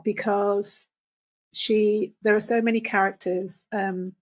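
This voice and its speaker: A middle-aged or older woman talking casually and reflectively in halting phrases, as a guest reader. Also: mid-range voice, little pitch movement. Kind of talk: casual conversational discussion.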